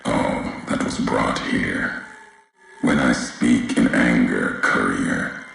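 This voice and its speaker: An actor playing a solemn, embittered wasteland wanderer in a video game, speaking gravely in clipped, cryptic phrases with a gravelly voice. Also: male voice, slow, fairly bright tone, slight background noise, full character voice. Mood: brooding, accusing. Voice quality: very deep, gravelly. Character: brooding, embittered wasteland courier, solemn and vengeful man. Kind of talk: dramatic video game monologue. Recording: heavily echoing, roomy sound.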